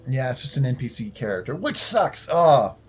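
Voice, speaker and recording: A man's voice: mid-range voice, big pitch swings, normal speed, husky. A man giving casual, chatty commentary while he plays a video game. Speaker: gamer playing and commenting. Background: game music.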